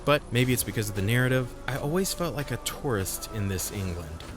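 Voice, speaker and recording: A man of middle pitch narrates a video game review over faint background music. He reads from a script but stays conversational and wry, dropping self-deprecating asides as he goes. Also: expressive, fairly quick.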